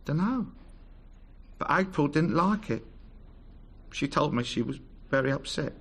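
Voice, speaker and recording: A creaky, sheepish London bloke. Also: male voice, on the high side, lively intonation, a bit bright, exaggerated voice. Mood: reluctant, halting. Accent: London working-class. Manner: plain-spoken, halting, slangy. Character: hesitant, sheepish working-class London defendant, a husband. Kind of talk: British radio drama acting.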